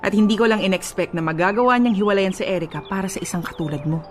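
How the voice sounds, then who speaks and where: A woman's voice: mid-range voice, quick pace, faint music behind, lightly acted. A woman playing a haughty, disapproving middle-aged mother in a radio drama, with a Filipino accent and a sarcastic, cutting manner. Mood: scornful.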